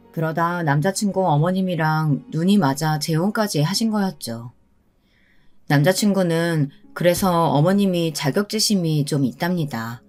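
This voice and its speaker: A woman's voice: fairly low pitch, a little mellow. A woman narrating a personal story in the first person, in a scripted radio-story style. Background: background music.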